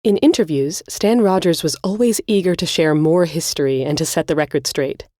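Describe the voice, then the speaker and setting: A woman with a low voice presents a scripted music-history podcast. She explains things in an articulate, orderly way, and the recording is very dry with little room sound. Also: normal speed, warm tone, no room sound. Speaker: podcast host.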